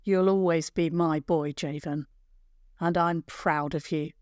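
A woman's voice: low voice, fairly even intonation, slow, a little mellow, no echo, clean studio sound. A woman voicing a loving, proud village father in a children's story, speaking tenderly. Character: loving, proud village dad of a young boy.

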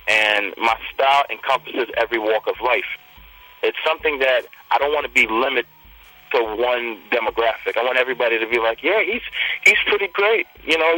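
A man's voice: medium pitch, sharp tone, no echo, rough recording. A young man from Trinidad, a rapper, talking confidently and promoting himself in a casual interview. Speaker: rapper guest.

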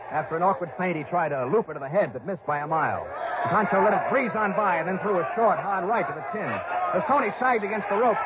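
A tough, earnest American detective , played by a male actor, tells his case in clipped, intense phrases. His voice sits a little high, in an old radio drama recording buried in heavy noise. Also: muffled recording, close to an everyday voice. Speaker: star and narrator. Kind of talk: dramatic first-person 1960s radio narration.

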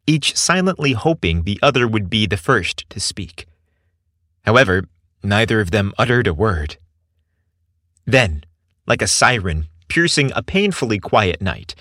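A man's voice: fairly low pitch, a bit bright, dry recording, studio quality. A man reading a scripted story as its narrator.

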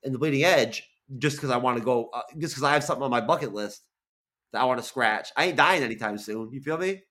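A man's voice: mid-range voice, fast talker, fairly bright tone. Rambling, cursing man in his forties. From Florida. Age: 40s. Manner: rambling, restarts a lot, swears casually. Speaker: guest, content creator. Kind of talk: casual interview chat. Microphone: video call.

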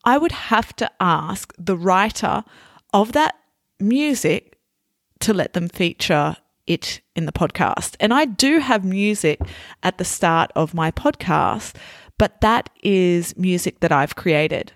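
A woman hosting a podcast for learners, explaining words slowly, patiently and simply. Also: lowish voice, lively intonation, no echo, clean studio sound. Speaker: podcast host for English learners. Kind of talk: slow, clear explanatory teaching talk.

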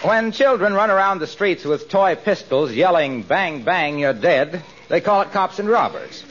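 American male announcer, 1940s radio, polished sell, big pitch swings, lo-fi vintage sound. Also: animated, unhurried, fairly bright tone.

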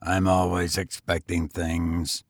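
A man voicing a weary, bitter gentleman tramp who speaks grimly and quietly. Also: deep voice, completely flat delivery, slow, dry recording, clean studio sound, pitched below the performer's own voice. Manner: grim, subdued, clipped.

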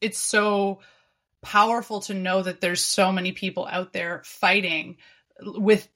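A woman's voice: medium pitch, fairly even intonation, measured pace, a bit bright. A woman hosting a podcast interview, speaking reflectively and searching for her words as she goes. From Canada. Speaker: podcast host. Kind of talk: casual conversational interview.

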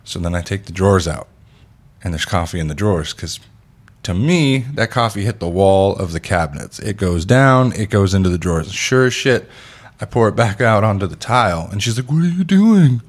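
A man's voice: on the low side, animated, moderate pace. A man in his thirties hosting a casual chat, telling stories animatedly, acting out quotes and swearing freely. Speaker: podcast host.

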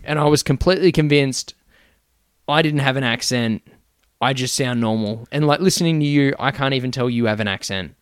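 A man with an Australian accent, chatting on a podcast. He spins crude, jokey stories and swears freely. His voice is mid-pitched and comes through dry and close on the mic. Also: a little fast, smooth, no echo. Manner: swearing, joking, storytelling. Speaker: podcast guest. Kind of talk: casual podcast chat.

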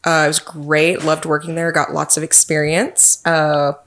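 A woman's voice: deep voice, level tone, unhurried, fairly bright tone. A young woman from Texas with a Texan accent, a police officer answering interview questions in an earnest, friendly way.

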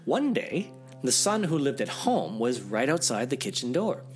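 A man's voice: medium pitch, big pitch swings, moderate pace, soft background music. A man reading a fairy tale aloud as a storyteller, with dramatic, theatrical expression.

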